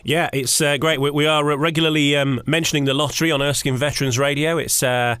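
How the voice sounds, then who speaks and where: A man hosting a casual radio interview, halting a little and repeating words as he forms his thoughts. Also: on the high side, fairly even intonation, fairly bright tone, clean tone, dry recording, studio quality.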